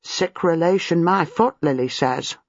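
A man voicing a brash, common young housemaid, an excitable gossip who talks in blunt, scornful slang in an audiobook. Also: fairly high pitch, unhurried, dry recording, lo-fi sound. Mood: scornful, agog. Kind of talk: audiobook character dialogue.

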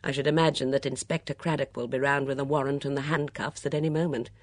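A woman's voice: rumbling low, fairly even intonation, fast, dry recording, clean studio sound. A woman voicing a cynical, detached young Englishwoman in an audiobook, with a cool, ironic, sardonic manner.

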